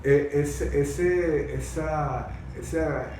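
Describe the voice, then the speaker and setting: A man in a conversational debate, speaking haltingly and repeating words as he searches for phrasing. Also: a little high, dark tone. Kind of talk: conversational political-historical debate. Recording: very echoey room, distant mic, faint noise.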